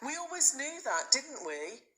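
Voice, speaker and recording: A woman voicing a sad, gentle mother coaxing and reasoning with her young son in a storybook. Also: high voice, sing-song, unhurried, voice pushed up, exaggerated voice. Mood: sad persuading.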